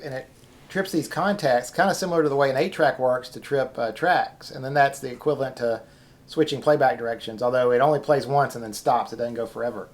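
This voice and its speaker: A man hosts an informal demonstration in a casual, unscripted way. He explains things as he goes, hesitating here and there as he finds his words. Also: mid-range voice. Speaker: video host.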